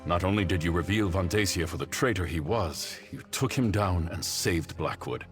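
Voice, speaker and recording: Dignified male statesman for a fantasy game male actor: deep, sincere, formal delivery. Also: moderate pace, faint music behind, natural voice. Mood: grateful, resolute. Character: earnest, grateful male leader, dutiful and dignified. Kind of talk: scripted video game dialogue.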